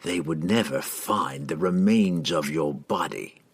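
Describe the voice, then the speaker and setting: Storybook killer, a man acting: deep, hushed growl, slow and clipped, icy contempt in a fantasy tale. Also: sing-song, gravelly, breathy edge, heavily acted. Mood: cold threat. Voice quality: low growl. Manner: slow, clipped, quiet menace. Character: cold, menacing male assassin, curt and contemptuous.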